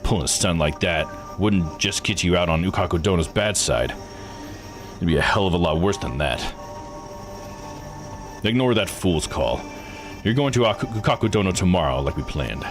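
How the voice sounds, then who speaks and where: A man voicing a gruff, folksy old island boss in a visual novel, blunt and dismissive. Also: low voice, lively intonation, moderate pace, long pauses, over music. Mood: gruff, scornful. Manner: blunt, slangy, domineering. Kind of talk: visual novel read-aloud voice acting.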